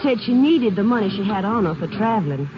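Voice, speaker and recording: An actor playing a talkative small-town laundry worker in a 1950s radio drama, rambling in homespun country grammar. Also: male voice, sits high, expressive, brisk, music in the background. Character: chatty folksy small-town laundry man. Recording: muffled old radio broadcast.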